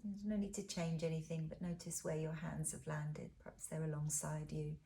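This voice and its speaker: A woman with a low-pitched voice guides a relaxation practice. Her tone is dark and relaxed, with a creaky fry to it. She gives gentle, unhurried instructions, and her phrases often trail off softly and breathily. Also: slight background noise. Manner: soothing, unhurried, gentle instruction with soft pauses. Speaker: relaxation and yoga teacher. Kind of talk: guided body scan meditation.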